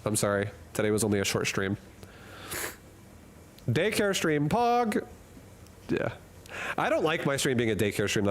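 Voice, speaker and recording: A man streaming a game from a hot room at home, chatting casually and rambling through jokes while he reads chat and laughs often. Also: mid-range voice.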